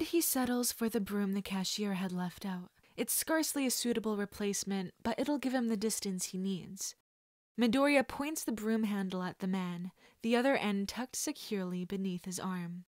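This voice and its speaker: A woman reading a story aloud as scripted dramatic narration, expressive and at times dropping to hushed tones. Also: medium pitch, moderate pace, no room sound, studio quality. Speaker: fan fiction audiobook reader.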